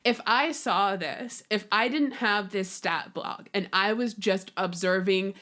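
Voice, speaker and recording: A woman's voice: medium pitch, a little slow, a bit bright. A woman speaking as a podcast guest in a casual panel chat, informal and conversational.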